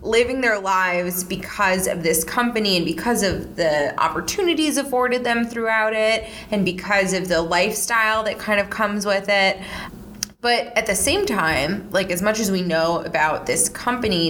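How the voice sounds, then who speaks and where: A woman's voice: mid-range voice, moderate pace, a bit bright. Casual podcaster woman, talks loose with lots of likes, slight background hiss. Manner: conversational with frequent "like" fillers. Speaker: podcast host. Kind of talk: casual true-crime podcast chat.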